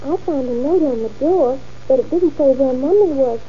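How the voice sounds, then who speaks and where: A woman's voice: sits high, expressive, normal speed, a little hiss or hum. An actress playing a hesitant, worried eleven-year-old girl in 1940s radio drama style. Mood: anxious. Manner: hesitant, timid replies. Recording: dull old radio recording.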